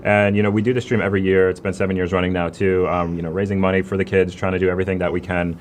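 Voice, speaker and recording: A man's voice: low-pitched, flat delivery, racing, a little hiss or hum. An American man co-hosting a livestream, chatting casually in an affable, rambling way. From Connecticut, United States. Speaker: livestream co-host reading the chat.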